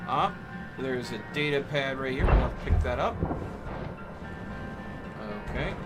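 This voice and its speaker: A man's voice: mid-range voice, a little slow. A man hosting a live game stream, chatting casually and commenting on his play, with game music behind him. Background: video game music.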